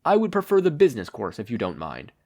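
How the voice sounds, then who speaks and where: A man's voice: mid-range voice, huge pitch swings, fast, darkish tone. A man voicing a despondent, polite teenage hero student with a subdued, formal manner in a story reading.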